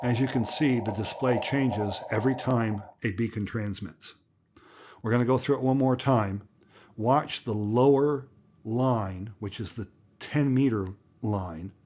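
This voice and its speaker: A man's voice: mid-range voice, a little slow, a little mellow, lo-fi sound, slight background noise. A man narrating an explanatory tutorial as an instructor, plain-spoken and going through things step by step. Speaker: amateur radio instructor, video host.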